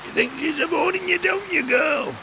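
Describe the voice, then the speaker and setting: An actor playing a sarcastic, needling workmate with a creaky, strained voice in an audio comedy drama. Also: male voice, extremely high pitch, normal speed. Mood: teasing. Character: sardonic, needling male workmate who has lost his voice. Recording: clearly noisy, rain-like background.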